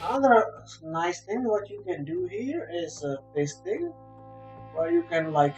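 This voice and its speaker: A man, an English speaker, giving a casual, unscripted game review in a halting, repetitive way as he searches for words. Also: sits high, big pitch swings. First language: a language other than English. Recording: roomy echo, music playing behind. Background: soft guitar game music.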